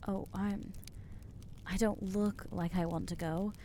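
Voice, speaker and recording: A jittery young vampire woman, acted out by a woman in an ASMR audio drama, stammering through awkward, self-mocking rambles. Her voice is soft and mellow, and she takes her time with every halting phrase. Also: medium pitch, fairly even intonation, slight background noise, close to an everyday voice. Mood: anxious.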